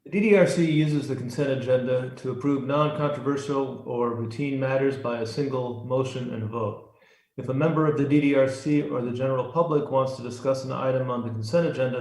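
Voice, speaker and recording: A man with an American accent and a medium-pitched voice chairs a public meeting. He reads the rules in a formal, scripted way. The sound is noticeably roomy and echoing, as on an online meeting. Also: steady tone, fairly quick, darkish tone. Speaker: chair of a city review commission.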